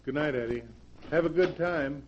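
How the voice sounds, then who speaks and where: An actor playing George, a timid, meek husband with a weak heart in a 1940s radio drama. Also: male voice, fairly high pitch, normal speed, close to an everyday voice. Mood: pleading. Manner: meek, pleading. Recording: dull old 1940s radio recording with hiss.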